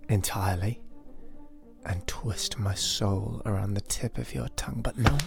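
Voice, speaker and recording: An actor playing a cunning, disgraced nobleman in a high fantasy audio drama, commanding and theatrical in manner. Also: a little slow, gravelly, over loud music. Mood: seductive, commanding.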